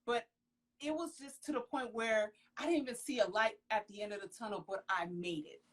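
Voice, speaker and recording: A young woman with a fairly high voice, raised speaking Creole, answers questions in an earnest, emphatic way. She exclaims often and leans hard on key words during a casual live video chat. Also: a little fast. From Haitian household, raised in the US. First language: Haitian Creole. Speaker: professional model, interview guest. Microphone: phone on a video call.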